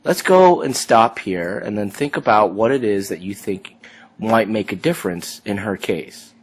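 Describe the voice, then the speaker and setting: A man's voice: on the low side, expressive, measured pace, husky. A man teaching a class, guiding students through an immigration case with a run of questions. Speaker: law instructor. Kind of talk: lecture commentary.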